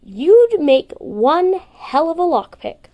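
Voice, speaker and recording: Story podcast role: woman as grumpy tough girl, high voice, brash and sarcastic-edged. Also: big pitch swings, slow, highs cut off, full character voice. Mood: suspicious. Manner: blunt, scoffing, easily flustered.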